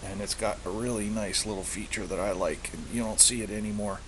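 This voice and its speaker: Man, lowish pitch, casual hobbyist demo, halting and repetitive phrasing, slight hiss, amateur recording. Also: fairly even intonation. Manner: halting, searching for words, repeating phrases. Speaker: hobbyist presenter. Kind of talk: casual product show-and-tell. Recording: hissy amateur home recording.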